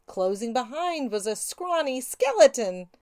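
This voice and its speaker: A middle-aged woman hosting children's storytime, animated and sing-song, speaking directly to young listeners. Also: sits high, a little slow, reverberant, loud music behind the voice. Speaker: children's read-aloud show host. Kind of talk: children's storytime presenting and picture-book reading.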